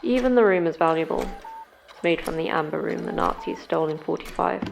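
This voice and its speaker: A woman's voice: deep voice, fairly quick, gravelly, a little hiss or hum. A woman playing a brusque, seasoned guide in a sci-fi horror audio drama, terse, commanding and matter-of-fact. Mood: brusque, guarded. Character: brusque, seasoned guide through a monster facility. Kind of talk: science fiction horror audio drama acting.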